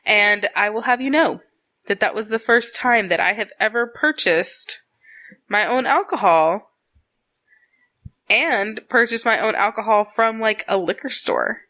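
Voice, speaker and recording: A woman's voice: mid-range voice, unhurried, bright, forceful tone. A woman in her late twenties from Texas, co-hosting a casual podcast chat, laughing often and teasing her partner. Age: 20s. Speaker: podcast co-host. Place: home in Arizona.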